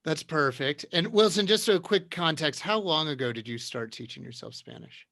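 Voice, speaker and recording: A man's voice: a little high, expressive, moderate pace, fairly bright tone, no room sound, clean studio sound. A man moderating an online round-table, chatty and encouraging, often restarting his questions.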